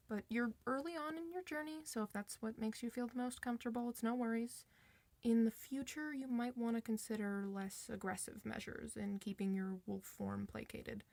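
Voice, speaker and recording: A woman's voice: a little high, vocal fry, darkish tone, no room sound. A woman playing a friendly, reassuring doctor for werewolves in an informal, one-sided ASMR roleplay. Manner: reassuring, informal, hesitant pauses.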